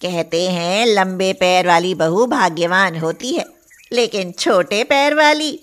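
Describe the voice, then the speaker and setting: A woman voicing a scornful, sharp-tongued older mother-in-law in an animated story. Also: lowish voice, slow pace.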